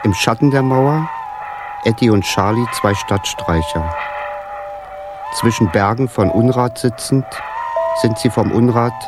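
A man narrating a radio play in a measured, declarative way. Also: medium pitch, noisy background. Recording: 1980s radio drama with loud music. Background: music.

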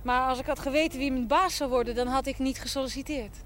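An actress playing a polite, practical and candid young secretary in a 1970s Dutch television drama, direct and composed. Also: female voice, sits high, fairly even intonation, quick pace, natural voice. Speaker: actor in a television drama series. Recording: noisy old television soundtrack.

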